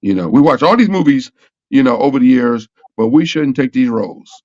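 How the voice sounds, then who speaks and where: A man's voice: medium pitch, animated, a little fast, a little mellow, no room sound. Male talk show host, slightly dull audio. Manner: repetitive, emphatic, argues with rhetorical questions. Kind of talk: casual panel discussion.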